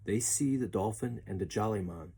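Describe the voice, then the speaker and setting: A man reading a children's story aloud in an expressive narrating style. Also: lowish voice, normal speed, gravelly, breathy edge. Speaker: storybook reader.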